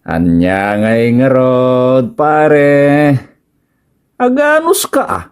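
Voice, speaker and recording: A man's voice: medium pitch, sing-song, extremely slow, smooth. An actor playing a nosy male buddy with a drawling, questioning delivery and an Ilocano accent, in a radio comedy drama. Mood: incredulous. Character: nosy male buddy reacting to his friend's troubles.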